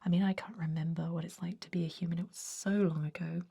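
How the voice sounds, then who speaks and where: A woman voicing a centuries-old vampire job interviewer in a breathy whisper, chatty and confiding, in an ASMR roleplay. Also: lowish voice, steady tone, fast, mellow. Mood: persuasive, friendly. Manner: gossipy, confiding, hesitant rambling. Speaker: human resources interviewer.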